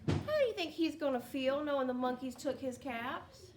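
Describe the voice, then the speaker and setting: High-pitched, animated woman teacher reading aloud. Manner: expressive, pausing to ask listeners questions. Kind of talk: animated read-aloud to young pupils. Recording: roomy, distant home recording with noise.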